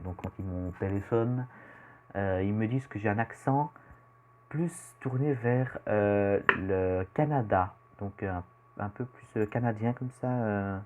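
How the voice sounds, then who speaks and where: Belgian teen guy rambling thru a vlog, kinda low voice, muffled lo-fi audio. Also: dark tone, slight background noise. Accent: Belgian French. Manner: rambling, hesitant with many fillers. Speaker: youtuber. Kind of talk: casual self-introduction vlog.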